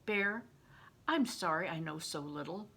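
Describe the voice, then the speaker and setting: An older woman voices an anxious, naive storybook boy. The words come slowly and questioningly, with a husky edge and lively pitch. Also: heavily acted. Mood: anxious, puzzled. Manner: hesitant, questioning.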